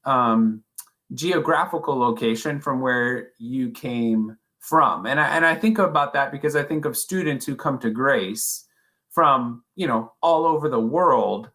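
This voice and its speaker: A man's voice: medium pitch, unhurried, pushed and bright. A man hosting a podcast interview, asking long, rambling questions with many fillers and restarts. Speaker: podcast host.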